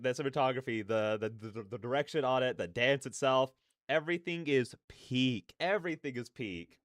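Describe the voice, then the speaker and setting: A man's voice: mid-range voice, fairly bright tone, no echo, studio quality. A man giving casual, effusive, slangy reaction commentary as a streamer, often repeating his phrases.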